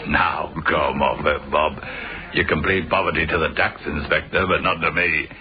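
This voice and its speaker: Genial, nosy store boss, bass-leaning voice, teasing radio drama delivery, muffled recording. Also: male voice, fairly even intonation, moderate pace, a crowd in the background.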